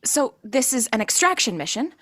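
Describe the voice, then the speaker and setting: A woman plays an earnest, soft-hearted zombie hunter in a comic audio drama. Her voice is on the higher side and recorded very dry. She comes across as hesitant, yet game for the fight. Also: very animated, moderate pace, dry recording. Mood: deadpan. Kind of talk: comedic audio play acting.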